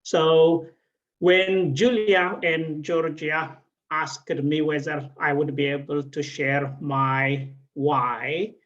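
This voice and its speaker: Dull lo-fi call audio, middle-aged man, Ethiopian accent, slow halting delivery, personal storytelling. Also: fairly high pitch, fairly even intonation, a bit bright. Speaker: regional director, guest speaker. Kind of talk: personal story told to an online audience. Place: online video event.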